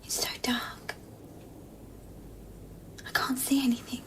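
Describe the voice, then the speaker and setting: An actress playing a lost, frightened, ghostly woman in a radio play, calling out in a breathy whisper. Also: high voice, flat delivery, takes it slowly. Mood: fearful, lost.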